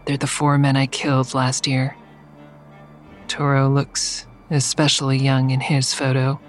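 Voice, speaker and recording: A woman's voice: a bass voice, completely flat delivery, a little slow, soft background music. An actress in an audio drama playing an exhausted, defiant woman who tells of her ordeal in a grim, blunt way. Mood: weary, grim. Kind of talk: first-person dramatic narration in audio drama.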